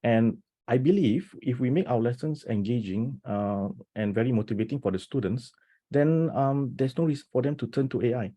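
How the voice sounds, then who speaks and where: A man presenting as a teacher on a video call webinar, talking with energy and many restarts, often checking in with "right?". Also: mid-range voice. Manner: animated, talks fast with many restarts and rhetorical "right?". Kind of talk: enthusiastic webinar talk.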